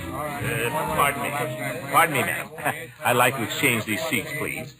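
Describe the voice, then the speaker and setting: Man playing blustering vain middle-aged man, American accent, very animated pitch, chuckling, old radio comedy. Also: measured pace, over loud music, natural voice. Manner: pompous, chuckling, fussy. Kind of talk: 1940s radio comedy acting.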